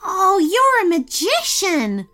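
Woman doing little storybook schoolboy, high voice, polite, earnest, flustered, slow and very animated. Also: soft background music, full character voice. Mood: amazed. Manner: earnest, hesitant stammers. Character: polite, eager young schoolboy, easily flustered. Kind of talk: children's storybook character voice.

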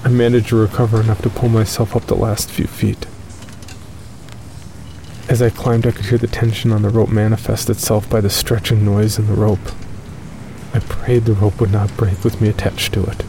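Man playing a haunted caver, low creaky monotone. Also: normal speed, a little hiss or hum, poor audio. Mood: weary, grim. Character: shaken male caver writing his journal, weary and haunted. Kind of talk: first-person horror narration.

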